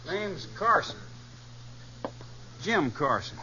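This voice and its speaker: Cocky, touchy young gunslinger. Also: male voice, high voice, sing-song, extremely slow, sharp tone, a little hiss or hum, full character voice. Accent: American. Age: young adult. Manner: sullen, challenging. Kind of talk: 1950s radio western acting. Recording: muffled old radio recording.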